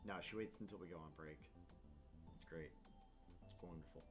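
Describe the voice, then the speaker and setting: A man's voice: low-pitched, moderate pace. A man hosting a gaming channel, joking and swearing casually and reading visual novel text aloud. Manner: joking, profane. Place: room at home, not soundproofed. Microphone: shared open microphone. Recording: open-mic room noise, music behind. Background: music.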